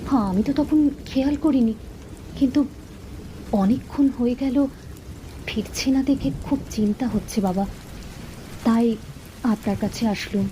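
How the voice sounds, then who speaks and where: An actress playing a troubled young village daughter-in-law in a horror cartoon, hesitant and pleading. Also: female voice, fairly high pitch, normal speed, long pauses, mellow, a little hiss or hum, lightly acted. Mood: worried, hesitant. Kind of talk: Bangla horror cartoon dialogue.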